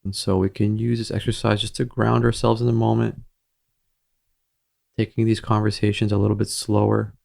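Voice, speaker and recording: A man with a low, noticeably creaky voice guides a meditation. His delivery is gentle and measured, leaving pauses between short phrases. The intonation stays fairly even throughout, giving it a soothing, steady feel.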